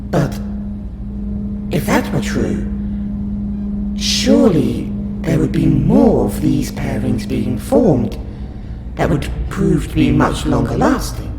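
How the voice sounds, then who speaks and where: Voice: mid-range voice, very animated, takes it slowly, pauses often. A performer with a woman's voice playing a courteous, curious alien scientist in an audio roleplay, questioning a human in formal, clinical wording. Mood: fascinated, puzzled. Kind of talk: audio roleplay monologue to the listener. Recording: roomy echo under loud music.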